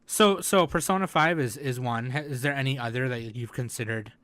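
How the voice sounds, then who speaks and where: A woman's voice: extremely low pitch, fairly quick, a bit bright, dry recording, studio quality. A woman hosting a podcast interview in an informal, teasing, sweary way. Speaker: podcast host. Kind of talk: casual interview chat.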